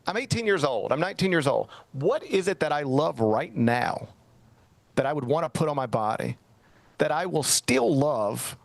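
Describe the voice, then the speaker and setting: A man's voice: fairly high pitch, very animated, moderate pace, creaky, no echo. A middle-aged man hosting a sports talk show, chatting casually and telling a rambling, self-mocking story. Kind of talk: casual radio-style chat.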